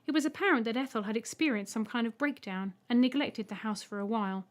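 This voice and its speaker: Higher-pitched woman narrating a story. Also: fast talker. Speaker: audiobook narrator.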